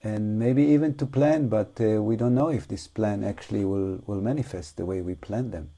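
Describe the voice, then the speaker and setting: A man with a fairly low, very soft and dark voice teaches meditation in non-native English. He speaks off the cuff in a reflective, halting way, leaving long pauses between short phrases. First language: not English. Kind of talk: unscripted guided meditation talk.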